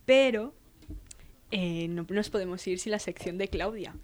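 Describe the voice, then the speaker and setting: A woman's voice: medium pitch, unhurried. Bubbly young woman co-host. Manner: bubbly, gushing, teasing. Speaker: podcast co-host. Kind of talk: casual chat.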